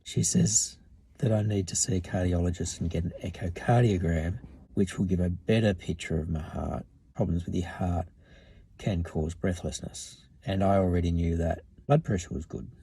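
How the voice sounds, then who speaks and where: A middle-aged man with a hoarse voice he is losing, talking plainly and matter-of-factly in a casual vlog. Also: sits low, moderate pace, mellow, dry recording. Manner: plain, matter-of-fact talk with restarts. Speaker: vlogger. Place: in a car, then outdoors in a yard. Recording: noisy car and outdoor background.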